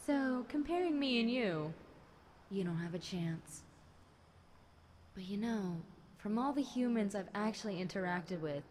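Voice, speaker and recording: A woman's voice: medium pitch, darkish tone. A woman voicing a bored, chatty demon girl in an audio roleplay, casual and teasing, talking straight to the listener. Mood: smug, teasing. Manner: casual, teasing, conversational with light chuckles. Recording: clear background noise.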